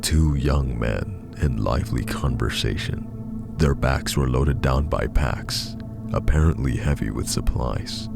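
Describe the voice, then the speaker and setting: A man narrates horror fiction in an extremely low voice. He reads slowly and with measured drama, his tone thick with gravel. Music plays clearly underneath. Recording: music behind the voice.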